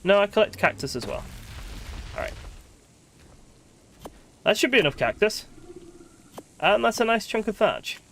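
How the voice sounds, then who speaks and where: A man's voice: high-pitched, big pitch swings, a little slow, gravelly, long pauses, a bit bright, slight background noise. A man giving casual live gameplay commentary as a streamer, chatty and thinking aloud, with wry, sweary grumbling. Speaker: game streamer.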